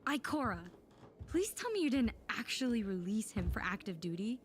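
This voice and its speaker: A woman's voice: on the high side, big pitch swings, moderate pace, background noise. A woman playing a feisty, impatient young female warlock in an audio drama, sarcastic and emphatic. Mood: annoyed.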